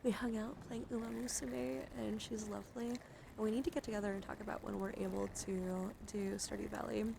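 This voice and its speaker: Creaky, soft-spoken woman doing ASMR. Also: mid-range voice, little pitch movement, a little fast, noisy background. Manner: soothing, drifting between whispers and soft speech; affectionate toward listeners. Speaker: live streamer hosting ASMR. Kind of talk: ASMR, soft intimate chat with live viewers.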